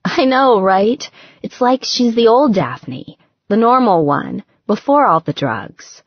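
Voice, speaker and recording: A woman voicing an affectionate, sardonic young bride-to-be who frets, in an expressive conversational manner for an audiobook. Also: mid-range voice, takes it slowly, breathy edge, no room sound, dull audio, pitched above the performer's own voice, full character voice. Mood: fretful, guilty.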